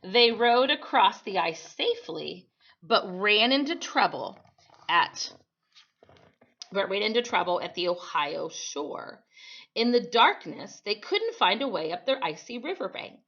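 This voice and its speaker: A woman's voice: medium pitch, big pitch swings, unhurried, fairly bright tone, muffled recording. An American woman giving an informal read-aloud lesson as a teacher, explaining as she goes and often correcting herself, recorded on a computer microphone. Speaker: teacher reading a storybook aloud to students.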